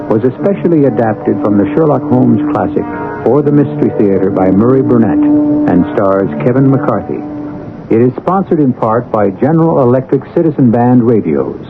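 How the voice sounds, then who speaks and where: A man hosting a radio mystery series with formal, deliberate, portentous scripted narration in 1970s style. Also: mid-range voice, lively intonation. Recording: tinny old radio broadcast over music.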